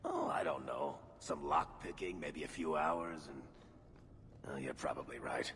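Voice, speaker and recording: Deep-voiced wisecracking dwarf. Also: male voice, a little slow, creaky, fairly bright tone, heavily acted. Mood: wry doubt. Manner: wry, drawling, conversational. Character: wisecracking male dwarf storyteller, roguish and easygoing. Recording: echoey cavernous reverb, noisy ambience behind.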